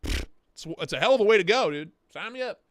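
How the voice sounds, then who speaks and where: A young man hosting a casual livestream, hoarse as he loses his voice, talking in an excitable, profane way and slipping into mock voices. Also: high-pitched, a little fast, no room sound, clean studio sound. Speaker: livestream host.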